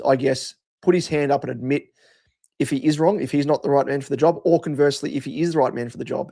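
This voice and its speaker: An Australian man with a croaky voice, hosting a fan show and giving casual, rambling post-match commentary with hesitant pauses and repeated phrases. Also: medium pitch, mellow. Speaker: host of a football fan show.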